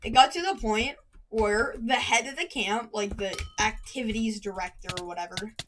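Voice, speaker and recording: A woman's voice: a little high, normal speed, a bit bright, a little hiss or hum. A woman chatting casually while gaming, rambling through a story and breaking off to react to the game. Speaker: gaming commentator. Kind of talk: casual storytelling over live gameplay. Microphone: desk microphone at a computer. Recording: keyboard and mouse clicks throughout.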